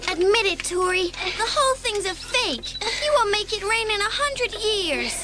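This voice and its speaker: A woman's voice: very high-pitched, big pitch swings, moderate pace. A woman playing a sensible, doubting young girl, a best friend, in a dramatised audiobook. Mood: doubtful.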